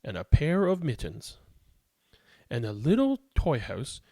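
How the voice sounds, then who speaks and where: A man with a gravelly voice, hosting and reading bedtime picture books aloud for young children. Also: mid-range voice, huge pitch swings, measured pace, warm tone, dry recording. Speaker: host of a children's read-aloud show. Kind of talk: casual chat and bedtime picture book read-aloud.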